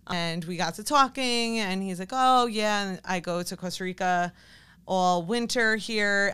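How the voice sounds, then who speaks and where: A woman with a strikingly smooth, clean voice at a medium pitch speaks as a podcast guest. She is a fitness coach, and her delivery is informal and conversational, like someone explaining things in a casual chat. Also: fairly bright tone.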